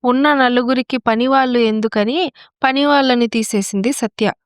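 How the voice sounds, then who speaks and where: A woman's voice: on the high side, steady tone, moderate pace, clear, resonant tone, no echo, clean studio sound. A woman narrator telling a scripted moral story.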